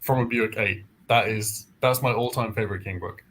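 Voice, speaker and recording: A man's voice: fairly low pitch, steady tone, moderate pace, fairly bright tone, breathy edge. A man hosting a book readalong, talking casually about novels in a group discussion. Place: indoors, online group call.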